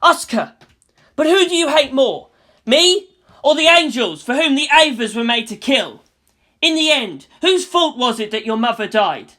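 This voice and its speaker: Determined young boy mecha pilot, acted by a woman, pleading and emphatic, pitched a little high, for an audio story. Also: expressive, moderate pace, bright, forceful tone. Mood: urgent.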